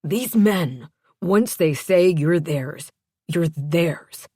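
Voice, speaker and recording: A woman narrator puts on an agitated father in a romance audiobook. He is stern, protective and forceful, in a dry, close recording. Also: deep voice, measured pace, gravelly, husky, no echo, heavily acted.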